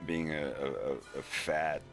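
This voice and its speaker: Very deep-voiced rambling American man. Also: fairly even intonation, slow pace, a bit bright, soft background music. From United States. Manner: rambling, frequent "like" and "dude", swearing. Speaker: streamer. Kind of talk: casual livestream chat.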